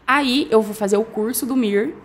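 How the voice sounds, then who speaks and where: A woman's voice: on the high side, normal speed. A woman guest, a doctor, chatting casually in an interview, hesitant with many restarts.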